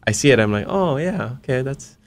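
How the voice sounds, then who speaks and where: A man's voice: mid-range voice, expressive. A man whose first language is Hawaiian, hosting a casual interview and talking in an earnest, encouraging way. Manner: earnest, encouraging, quick asides. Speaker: podcast host.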